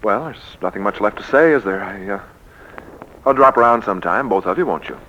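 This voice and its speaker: An actor playing a flustered, lovelorn businessman in 1940s radio drama style, with hesitant, halting delivery. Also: on the low side, lively intonation, bright, forceful tone, highs cut off. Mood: awkward, resigned. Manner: hesitant, halting when emotional. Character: flustered, lovelorn businessman, impatient boss, adult man. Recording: noisy vintage 1940s radio recording.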